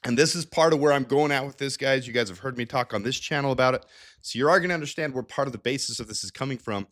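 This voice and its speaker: A man's voice: medium pitch, quick pace. A man hosting a sports analysis show, talking casually through stats with a slightly nasal, stuffed-up sound from a head cold. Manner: energetic, chatty, with frequent restarts and asides. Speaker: sports channel host.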